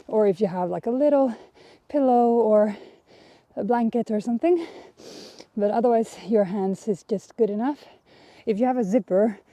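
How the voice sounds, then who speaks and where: A woman's voice: mid-range voice, dark tone, no room sound. Breathless Swedish-accented fitness instructor woman. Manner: chatty, pauses to search for words. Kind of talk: workout instruction while exercising. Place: outdoors.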